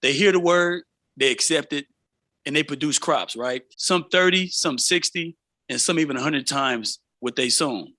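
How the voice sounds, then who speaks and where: A man's voice: a little high, moderate pace, sharp tone, no echo. A man, hosting an informal motivational interview in a streetwise way, often checking in with a tag question. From San Francisco Bay Area, United States. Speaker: show host, interviewer.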